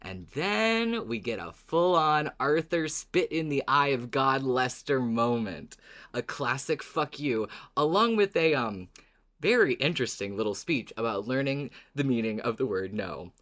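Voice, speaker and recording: A man's voice: mid-range voice, expressive, measured pace, fairly bright tone. A man co-hosting a podcast, chatting casually and recapping in a playful, wry, dramatic way. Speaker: podcast co-host.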